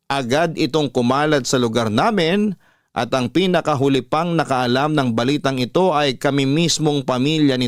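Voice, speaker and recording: A man's voice: medium pitch, no echo, studio quality. A man hosting a radio story show, reading a listener's letter as dramatic scripted narration. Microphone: close studio microphone, dry. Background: soft music.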